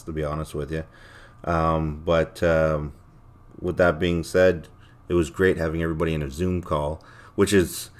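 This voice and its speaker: Deep-voiced man rambling on a livestream. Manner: rambling, blunt and profane. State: voice a little worn, losing it. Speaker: livestream host. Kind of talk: casual livestream sign-off chat, reading chat comments aloud.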